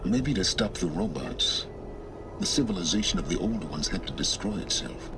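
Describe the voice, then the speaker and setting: An actor playing a cold, secretive middle-aged male scholar of ancient ruins, measured and condescending. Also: low voice, animated, music in the background. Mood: explaining. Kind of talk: video game cutscene acting.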